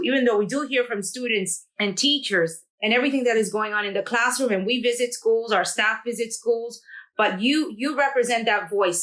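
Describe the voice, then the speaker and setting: A woman's voice: fairly high pitch, fairly bright tone. A woman hosting a podcast interview, asking open questions in an encouraging way. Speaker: podcast host, school board member. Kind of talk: casual interview chat.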